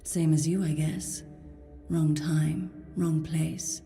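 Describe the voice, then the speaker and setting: A woman's voice: deep voice, level tone, slow pace, creaky, warm tone, soft background music, heavily acted. A woman playing a weary, sardonic horned female captive in a fantasy video game, dry and guarded. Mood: guarded, somber. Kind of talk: video game character dialogue.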